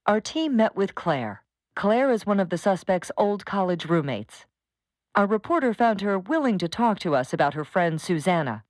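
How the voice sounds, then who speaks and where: A woman's voice: fairly low pitch, no room sound. An actress playing a female news journalist, delivering a formal scripted crime report for an audio drama.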